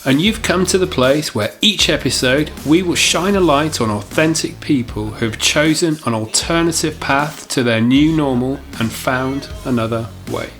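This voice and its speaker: A man co-hosting a podcast, reading the intro smoothly. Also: medium pitch, moderate pace, hardly any pauses, a bit bright, soft background music. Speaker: podcast co-host. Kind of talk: scripted intro.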